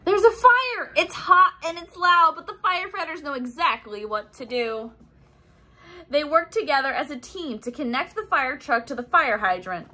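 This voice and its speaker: A young adult woman reading a children's picture book aloud expressively, acting out sound effects and adding simple explanations. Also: high-pitched. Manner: animated, acts out sound effects and exclamations.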